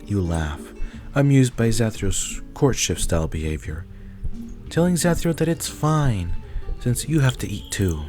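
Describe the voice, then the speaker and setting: A man's voice: on the low side, big pitch swings, measured pace, darkish tone, faint music behind. A man reading scripted second-person story narration for an audio roleplay.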